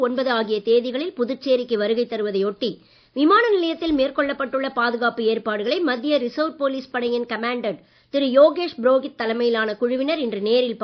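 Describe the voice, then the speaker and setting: A woman newsreader reading a regional radio bulletin in a formal, measured way. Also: fairly high pitch. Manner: measured, even delivery. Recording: dull lo-fi radio broadcast.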